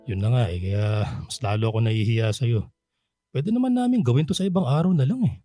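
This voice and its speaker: An actor playing a kindly, bashful middle-aged Filipino bachelor in a radio drama, talking gently and considerately. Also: male voice, medium pitch, lively intonation, fast talker, faint music behind, lightly acted. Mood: apologetic, gentle.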